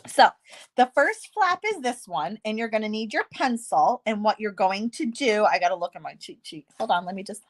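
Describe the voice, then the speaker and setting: A woman hosting a casual card-making tutorial, chatty and self-deprecating, slightly hoarse with a stuffy nose. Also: mid-range voice, animated, normal speed.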